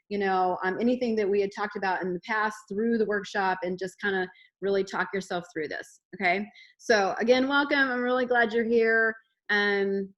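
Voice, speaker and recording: A woman's voice: medium pitch, bright, forceful tone, lo-fi sound. An upbeat woman fitness coach hosts an online workshop. She talks in a chatty, encouraging way with plenty of fillers, over a video call. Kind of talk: casual workshop talk.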